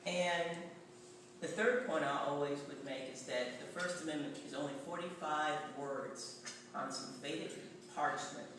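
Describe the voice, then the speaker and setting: Middle-aged Tennessee man, higher voice, wry lecturer, heard from afar in a noisy, echoing hall. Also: a little slow. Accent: Tennessee Southern. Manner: anecdotal, wry, conversational. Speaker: journalism professor giving a public talk. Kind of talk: public lecture. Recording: echoey hall, voice far from mic, background noise.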